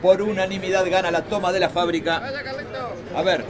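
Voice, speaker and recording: A man plays a brisk union delegate running a factory assembly. He calls out in a high, projected voice, off-mic among a noisy crowd in a radio play. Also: little pitch movement, lightly acted. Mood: commanding. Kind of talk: naturalistic radio drama acting.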